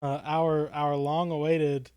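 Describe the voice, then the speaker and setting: A man's voice: on the high side, level tone, slow pace, a little mellow, clear, resonant tone, dry recording. A man around his thirties co-hosting a casual livestream chat, rambling along in a jokey, tongue-in-cheek way. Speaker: podcast co-host.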